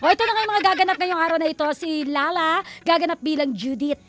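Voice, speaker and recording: A woman with a Filipino accent hosting a radio show with excitable, giggly, teasing banter. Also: extremely high pitch, quick pace, heavy background noise. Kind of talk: playful live radio banter.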